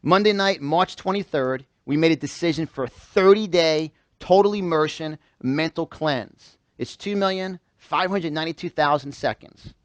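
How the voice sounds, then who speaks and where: A man's voice: fairly high pitch, moderate pace, hardly any pauses, dry recording. A man from New York giving a motivational seminar, emphatic, repeating phrases and firing questions at his listeners. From New York, United States. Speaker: motivational speaker. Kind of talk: motivational seminar talk to a live audience. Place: live event room with a small audience.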